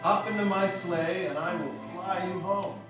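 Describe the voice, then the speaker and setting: Man as jolly old gift-giver, gentle, fairly high male voice, puppet show, very echoey. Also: fairly even intonation, a little slow. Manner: gentle, reassuring, explaining. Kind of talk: children's puppet show acting. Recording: echoey, distant, music behind.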